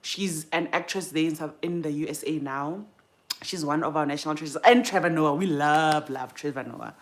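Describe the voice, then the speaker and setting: Chatty young South African woman, lowish voice. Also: moderate pace. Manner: chatty, informal, thinks aloud and trails off. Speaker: livestream host. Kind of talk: casual chat while doing makeup.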